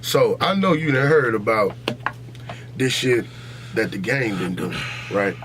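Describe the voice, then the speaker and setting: A man's voice: fairly low pitch, lively intonation, a little slow. Blunt American man debating, faint noise behind. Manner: blunt, profane, repeats himself for emphasis. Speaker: podcast host. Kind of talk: casual opinionated debate.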